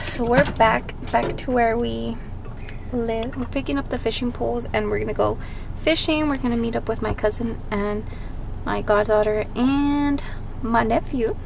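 A woman's voice: a little high, fairly even intonation, moderate pace, vocal fry. A woman in her twenties chatting upbeat to a handheld camera, in a car and outdoors. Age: 20s. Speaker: vlogger. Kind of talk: casual vlog chat. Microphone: handheld camera at arm's length. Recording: loud background noise.

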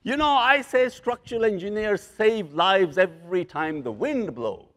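An older man speaks slowly from a conference stage, his fairly high voice rising and falling with showman flair. His jokes land between grand, preacher-like emphasis. A long hall reverb trails behind his words. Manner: animated, joking, preacher-like emphasis. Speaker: keynote speaker. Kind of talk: motivational keynote speech.

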